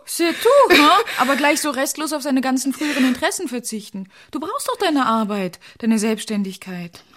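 Lively, breezy, scolding female friend. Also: sits high, background noise. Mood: affectionate scolding. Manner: teasing, brisk, affectionate. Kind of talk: German radio drama acting.

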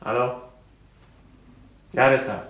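A man's voice: medium pitch, fairly even intonation, unhurried, a bit bright, reverberant, a little hiss or hum, natural voice. An actor playing a defiant, money-loving young man who answers questions defensively and sardonically in a radio crime play. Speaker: nephew of the murdered man. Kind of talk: 1970s radio crime drama acting.

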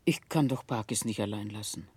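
An actress playing a warm-hearted, motherly middle-aged housekeeper in a 1960s radio play, effusive and chatty. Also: a bass voice, a little fast, slightly rough, no room sound. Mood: fond, confiding. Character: warm-hearted, emotional older housekeeper, fussing motherly wife. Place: dry radio studio.

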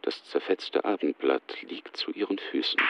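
A man's voice: extremely low pitch, moderate pace, gravelly. A man reading short, matter-of-fact scene descriptions for a radio play, in a slightly dull recording with faint noise. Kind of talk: present-tense scripted scene description.

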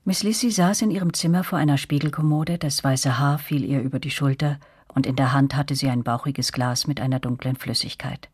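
A reflective woman narrating memories of her girlhood in a radio play. The voice is very low for a woman, husky and closely recorded without room sound, measured and serious. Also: steady tone, a little mellow, no echo, studio quality. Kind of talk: literary first-person narration in radio drama.

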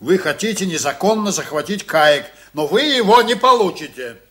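A man's voice: sits high, lively intonation, normal speed, bright, forceful tone, heavily acted. A man acting a proud, stubborn sea captain in a radio drama, speaking firmly and formally with ironic bite. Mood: firm, indignant.

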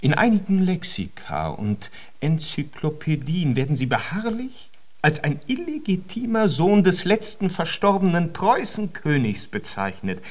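An actor playing a fawning, long-winded male biographer in a German radio drama, ornate and ceremonious in manner. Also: fairly high pitch.

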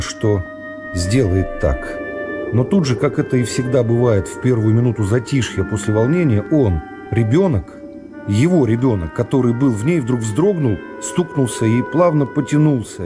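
A middle-aged man reading classic prose as an expressive dramatic narration for a radio serial. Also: medium pitch, moderate pace. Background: music.

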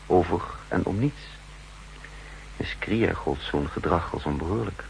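An actor playing a brooding undercover double agent who narrates his story in measured, introspective Netherlands Dutch, in a 1960s radio drama. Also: low-pitched, vocal fry, rough recording. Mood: uneasy, pensive. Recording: hissy 1960s radio recording.

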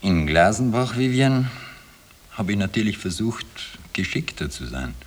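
A man's voice: on the low side, very animated, measured pace. An actor in a 1960s radio drama playing an earnest, self-justifying Austrian man, weary and wistful, with an Austrian accent. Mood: pleading, explaining. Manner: earnest, confessional.

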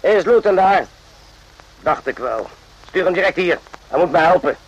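A man's voice: sits high, lively intonation, normal speed, muffled recording. A man acting in a radio drama as an irritable, bullying army sergeant who curtly barks questions. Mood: impatient. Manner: curt, impatient, barking questions.

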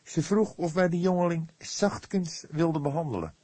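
A male narrator plays an eager young detective in a crime audiobook. The voice is lifted high and projected, brisk and dutiful in its reporting. The recording is dry. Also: mellow, breathy edge, no echo. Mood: earnest, kindly.

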